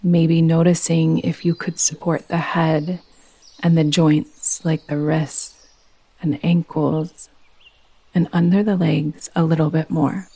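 Slow, low-voiced woman guiding meditation. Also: little pitch movement, breathy edge, low-quality recording. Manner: soothing, gentle, unhurried instruction. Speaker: yoga nidra guide. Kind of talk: guided meditation.

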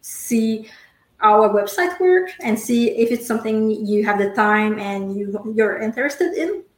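A woman's voice: on the high side, animated, unhurried. A woman who is not an English speaker answering interview questions, hesitant with many uhs and restarts. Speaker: guest, company sales contact. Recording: roomy echo.

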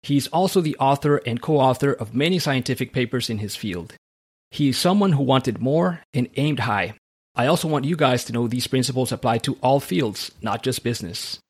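A man's voice: medium pitch, animated, normal speed, husky. A man hosting a podcast, reading a motivational script in an earnest tone, then interviewing a guest. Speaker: podcast host.

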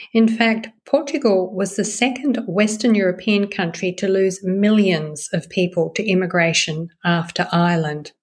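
A woman hosting a podcast, reading a measured, formal introduction and then asking questions in a friendlier tone, recorded over a phone call. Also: medium pitch. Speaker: podcast host. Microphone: phone over a messaging-app call.

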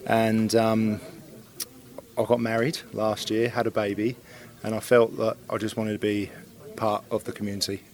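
A man from England with an English accent, chatting casually in an interview as a new citizen, relaxed with ums and yeahs. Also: on the low side, normal speed.

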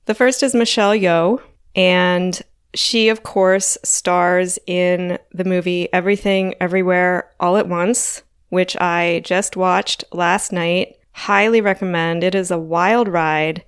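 A woman in her forties hosting her own podcast in a chatty, casual way, a little hoarse from a frog in her throat and laughing lightly between thoughts. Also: on the low side, fairly even intonation, slow pace, dry recording, clean studio sound. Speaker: podcast host. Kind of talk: casual solo podcast talk.

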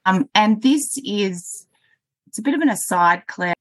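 A woman's voice: mid-range voice, animated, measured pace, dry recording. A woman, a foundation manager who is a guest on an interview podcast, explaining her work with commitment. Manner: committed, explanatory with frequent "you know".

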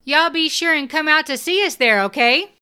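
A man's voice: extremely high pitch, moderate pace, sharp tone, voice pushed up, heavily acted. A man voicing a bubbly, hospitable woman, brassy and reassuring, in audiobook dialogue. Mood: warm, reassuring.

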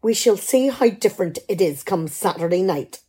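Woman playing a dry, teasing wife, mellow. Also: medium pitch, animated, moderate pace, slightly rough. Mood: teasing, determined. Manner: dry, bantering, probing. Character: teasing, shrewd, practical middle-aged wife. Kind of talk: amateur radio play dialogue.

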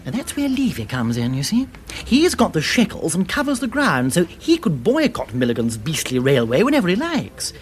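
Hearty upper-class English club man, very animated. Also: fairly high pitch, normal speed, a little hiss or hum. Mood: blustering, gossipy. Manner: blustering, slangy, emphatic. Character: hearty upper-class stock exchange gentleman, affable, easily flustered. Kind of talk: radio drama acting.

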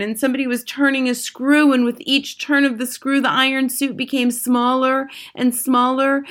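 A woman's voice: high-pitched, fairly bright tone, pitched above the performer's own voice. A woman voicing a frightened child who tells of a terrible ordeal in a dramatic first-person storybook reading. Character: terrified young child hero telling of their ordeal.

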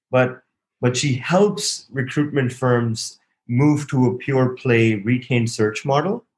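A man hosting an interview podcast, enthusiastic and quick to chime in. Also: mid-range voice, low-quality recording. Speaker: podcast host.

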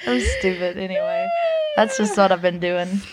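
A woman's voice: medium pitch, huge pitch swings, measured pace, a crowd in the background. A woman co-hosting a podcast in casual comic chat, self-mocking and prone to giggles. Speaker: podcast co-host.

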